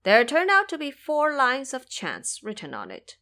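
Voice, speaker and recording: A woman narrating an audio drama as scripted storytelling, in an expressive manner. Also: high-pitched, moderate pace.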